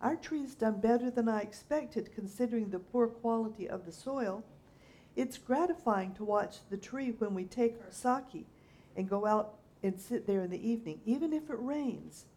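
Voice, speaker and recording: A woman voicing a cheerful, gentle old Japanese man in his seventies in a spoken story. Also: fairly high pitch, lively intonation, normal speed. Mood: delighted. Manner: gentle and delighted, chatty.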